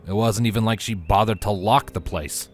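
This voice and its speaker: A man narrating a scripted story in a dramatic, expressive storytelling manner. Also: fairly low pitch, moderate pace.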